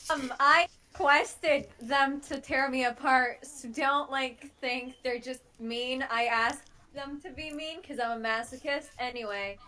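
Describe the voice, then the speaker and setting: A woman's voice: high-pitched, unhurried, pushed and bright. A woman chatting casually on a group call, jokey and self-mocking. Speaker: audio drama creator and voice actor.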